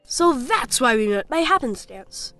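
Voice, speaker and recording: A woman's voice: on the high side, big pitch swings, a little slow, sharp tone, full character voice. A woman voicing a small, earnest, curious child in an audio fantasy story. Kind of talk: audio storybook character acting. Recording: music behind.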